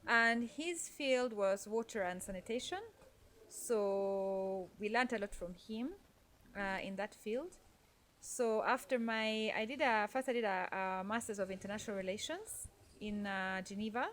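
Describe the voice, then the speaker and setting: A woman from Norway talks through her story as a podcast guest, pausing on fillers and restarting her sentences as she thinks. Her voice sits at a middle pitch. A faint ambient noise runs underneath. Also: fairly bright tone. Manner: thoughtful, with frequent fillers and restarts. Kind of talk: conversational interview storytelling.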